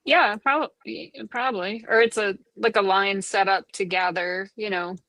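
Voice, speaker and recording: A woman's voice: mid-range voice, steady tone, moderate pace, a bit bright, dry recording. Thoughtful woman in dry voice chat. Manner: thoughtful, hedging with many fillers. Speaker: participant in an online group chat. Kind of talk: casual chat.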